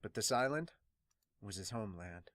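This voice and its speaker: A man's voice: lowish voice, expressive, moderate pace, fairly bright tone, no echo, voice pushed down. Man as a solemn, earnest veteran pirate. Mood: solemn, reflective. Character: weary, solemn veteran pirate doctor recounting his late captain. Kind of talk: fan manga dub, dramatic reading.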